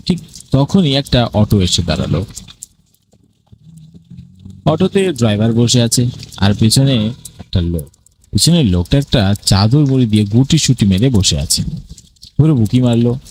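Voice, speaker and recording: Animated male ghost-story narrator. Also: mid-range voice. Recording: clearly noisy, ambient sound behind voice.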